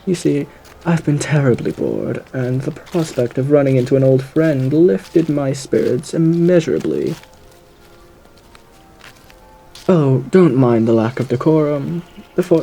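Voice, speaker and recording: A man voicing a suave, sinister gentleman lurking in the woods, in a theatrical, genteel ASMR roleplay with a husky voice. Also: mid-range voice, slight background noise, natural voice, poor audio. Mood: playfully menacing. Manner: theatrical, genteel, drawn-out phrasing with endearments. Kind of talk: ASMR audio roleplay monologue.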